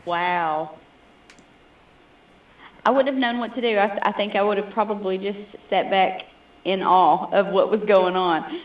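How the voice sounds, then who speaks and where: A woman's voice: mid-range voice, measured pace, pauses often. A woman working as a customer service agent, chatting and taking details over the telephone. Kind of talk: phone order-taking chat. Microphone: heard over a telephone call. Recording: dull, roomy, distant phone call with noise.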